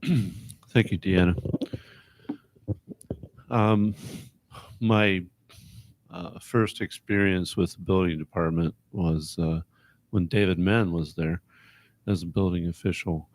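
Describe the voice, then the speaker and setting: A man answering as a mayoral candidate at a public forum, measured and hesitant. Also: deep voice.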